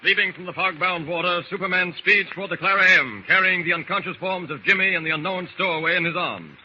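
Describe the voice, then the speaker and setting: A man's voice: sits high, fast, slight background noise, close to an everyday voice. A man playing the dramatic narrator of a 1940s radio adventure serial, reading scripted narration. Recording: dull old radio recording.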